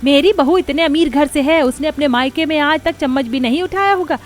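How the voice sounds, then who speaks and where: Woman acting a bossy, greedy older mother-in-law, high-pitched. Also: fairly quick, close to an everyday voice. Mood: scolding. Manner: scolding, gloating, emphatic. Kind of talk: Hindi cartoon story voice acting.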